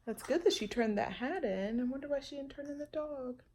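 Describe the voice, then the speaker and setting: A woman's voice: high voice, brisk, noisy background. A woman reading a children's picture book aloud, with chatty asides to her young listeners. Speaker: storytime reader.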